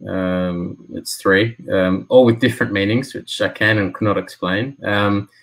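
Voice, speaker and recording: A man's voice: on the low side, steady tone, measured pace, vocal fry, a bit bright, low-quality recording. A man in his thirties from Australia, talking casually on a video call from a small room, hesitant with many fillers. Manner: hesitant, with frequent fillers and restarts. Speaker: football lawyer, alumnus guest. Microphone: laptop webcam on a video call.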